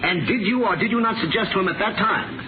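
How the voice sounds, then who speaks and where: A man playing a fast-talking, frank defense lawyer. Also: sits high, close to an everyday voice. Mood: brisk questioning. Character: brisk male defense lawyer, frank, forceful. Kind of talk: 1950s radio drama acting. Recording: noisy, tinny 1950 radio recording.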